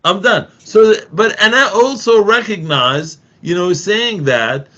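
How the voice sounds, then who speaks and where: A man's voice: high-pitched, slow pace, bright, forceful tone, muffled recording. A middle-aged Palestinian man in an earnest discussion, talking emphatically with frequent restarts and repeated phrases. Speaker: activist guest.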